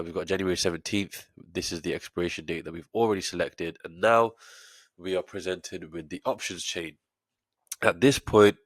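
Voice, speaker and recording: A man's voice: deep voice, no room sound. A man with a stuffy nose from a cold, explaining an options trade step by step in a screen-share tutorial, with frequent fillers. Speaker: trading educator.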